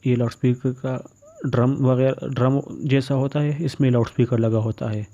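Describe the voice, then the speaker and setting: A man's voice: mid-range voice, level tone, moderate pace, mellow. A man giving step-by-step repair instructions as a tutorial voice-over, in a plain, matter-of-fact way. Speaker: phone repair tutorial presenter. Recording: dull, muffled lo-fi recording.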